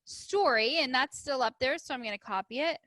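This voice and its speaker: A woman's voice: high-pitched, fairly quick, pushed and bright, no room sound. A woman teacher reading aloud and leading a writing lesson for young children, explaining simply and asking them questions. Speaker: primary school teacher.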